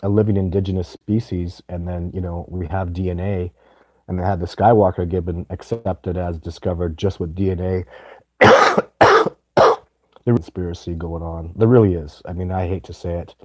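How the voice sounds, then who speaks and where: A middle-aged man with a sore, raspy throat and a very low, dark voice talks through a live Q&A. He rambles and restarts often, pressing his points hard. The sound is close and dry, with no room around it. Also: steady tone, normal speed, no room sound. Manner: rambling, emphatic, many false starts. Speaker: livestream host answering viewer questions. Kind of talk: casual live chat.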